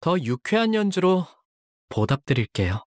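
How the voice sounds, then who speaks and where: An actor voicing a young male fighter in a video game, polite and soft-spoken with dramatic delivery. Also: mid-range voice, sing-song, measured pace, no echo, studio quality. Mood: polite, upbeat. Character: earnest, anxious young man, a devoted shielding fighter; tormented young male zealot who purifies with fire. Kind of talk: video game character voice lines.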